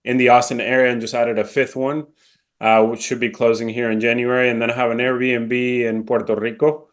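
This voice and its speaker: A man's voice: medium pitch, little pitch movement, a little fast. A man in his thirties from Venezuela with a faint Venezuelan Spanish accent, a podcast guest talking casually and correcting himself as he goes. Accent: slight Venezuelan Spanish. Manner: rambling, self-correcting, many fillers. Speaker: podcast guest, real estate agent. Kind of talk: casual interview conversation.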